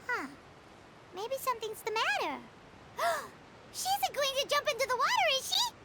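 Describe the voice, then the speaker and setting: A woman voicing a tiny, curious, chirpy fairy companion with a squeaky childlike voice in an animated video game. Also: normal speed, background noise, full character voice. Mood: impatient, concerned. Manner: perky, refers to self in third person. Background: background music.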